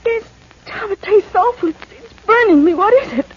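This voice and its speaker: A woman's voice: extremely high pitch, expressive, normal speed. An actress playing a trusting, affectionate and inquisitive woman in 1940s radio drama style. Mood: alarmed. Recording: muffled, hissy old 1940s radio broadcast.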